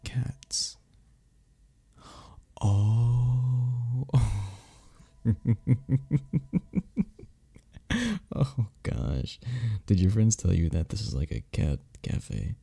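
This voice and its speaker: A man playing a flirty, friendly club member in an ASMR romance roleplay, teasing and reassuring in a breathy, gravelly voice. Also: on the low side, drawn-out words, pauses often, warm tone, full character voice. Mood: amused, teasing. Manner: teasing, reassuring, chuckles between phrases. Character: flirty, friendly male cuddle club member showing a newcomer around. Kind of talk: ASMR romance roleplay, one-sided conversation.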